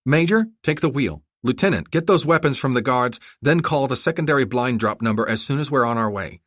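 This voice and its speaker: A man's voice: medium pitch, expressive, brisk, fairly bright tone, dry recording, clean studio sound, pitched above the performer's own voice. A man voicing a proud, composed princess with a formal, imperious manner in an audiobook. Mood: commanding.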